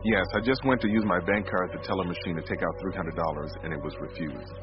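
An actor playing a harried, desperate office designer in a radio drama, agitated and given to stammering pleas. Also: male voice, low-pitched, fast, over music, close to an everyday voice. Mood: incredulous. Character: harried, desperate male office designer whose life unravels. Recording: dull lo-fi recording with noticeable noise.

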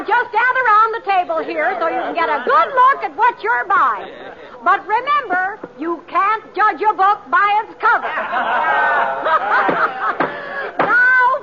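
A woman's voice: very high-pitched, full character voice. An actress playing a bossy, chatty middle-aged society lady in a 1950s radio western, pushy, fussy and gushing. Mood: excited, calling out. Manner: pushy, fussy, gushing, talking over others. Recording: muffled old radio recording, crowd behind.

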